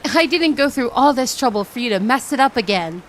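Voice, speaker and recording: Woman as a high-voiced, pouty mermaid princess. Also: animated, brisk, slight background noise, close to an everyday voice, low-quality recording. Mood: indignant. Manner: pouty, indignant, stammering when flustered. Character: flustered, proud young mermaid princess, easily annoyed. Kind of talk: roleplay audio drama.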